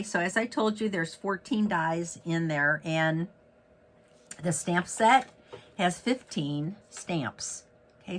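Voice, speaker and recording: Raspy, congested man demonstrating crafts. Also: high-pitched, bright, forceful tone. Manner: chatty and upbeat, with many fillers and self-corrections. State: head cold, raspy and congested. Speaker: stamping demonstrator and host. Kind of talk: casual card-making tutorial.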